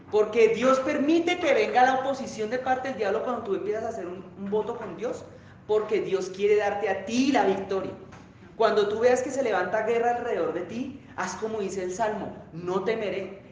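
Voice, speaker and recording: A man's voice: high-pitched, highs cut off, a little hiss or hum. A man preaching a sermon, then leading the church in emphatic, fervent prayer. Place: church hall. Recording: very echoey church hall with guitar.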